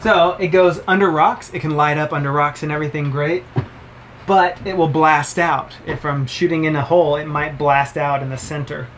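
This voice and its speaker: A man's voice: on the high side, steady tone, normal speed, a bit bright, a little hiss or hum. Upbeat man chatting through a gear review. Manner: chatty, upbeat, explains while handling gear. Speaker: vlogger reviewing camera gear. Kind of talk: casual gear review to camera. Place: cabin of a sailboat, indoors.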